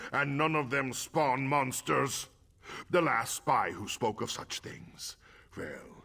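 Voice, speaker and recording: Here is a proud High King from a fantasy game, full of scorn and suspicion in his grief. The delivery is sharp and rough with fry, drawn out and slow, dropping to hushed menace and rising to a roar. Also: heavily acted. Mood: menacing. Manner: haughty, scornful, swinging from hushed menace to roaring.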